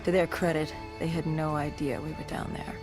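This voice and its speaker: An actor playing a grim, battle-worn soldier in a video game, recounting an apocalypse in a grave, halting, deliberate way. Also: mid-range voice, flat delivery, over music, lightly acted. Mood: grave, tense. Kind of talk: dramatic cinematic narration.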